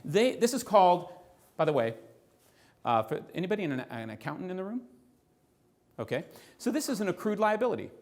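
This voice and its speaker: A man presenting financial ideas to a live audience in a room, animated, often asking the listeners rhetorical questions. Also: high voice, fairly quick, long pauses.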